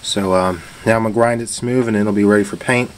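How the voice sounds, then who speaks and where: A man's voice: lowish voice, steady tone, fairly quick. A man casually narrating his hands-on home repair in a laid-back, plain-spoken way. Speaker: home DIY video maker. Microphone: handheld camera or phone behind the lens. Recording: noisy handheld camera audio with clicks.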